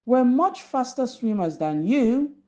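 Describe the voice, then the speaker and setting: A woman's voice: fairly high pitch, big pitch swings, slow pace, darkish tone, pitched above the performer's own voice. A woman voicing a smug, confident male fish in a children's storybook, on a slightly dull recording. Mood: indignant. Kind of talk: storybook character voice.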